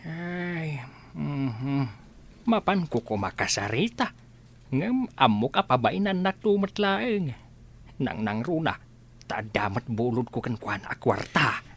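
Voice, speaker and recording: Folksy, animated village uncle. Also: male voice, mid-range voice, slow. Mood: inquisitive. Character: easygoing older village uncle, inquisitive. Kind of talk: Ilocano radio comedy-drama acting.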